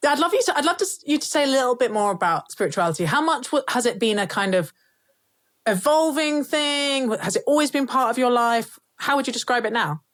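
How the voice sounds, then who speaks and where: A woman's voice: high-pitched, expressive, fairly quick, a bit bright. A woman hosting an interview, chatting casually, laughing and asking thoughtful questions. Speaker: podcast host.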